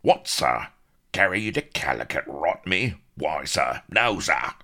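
A man's voice: very deep voice, very animated, a little slow, bright, forceful tone, full character voice. A man voicing a blustering, grizzled old sea captain who bellows oaths. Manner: bellowing, oath-laden, gruff.

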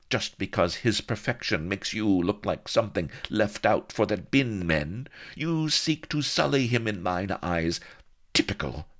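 A man's voice: fairly low pitch, a bit bright, voice pushed up, exaggerated voice. A man voicing a haughty, melodramatic young noblewoman who scolds indignantly in mock-archaic, theatrical speech for a comic storybook.

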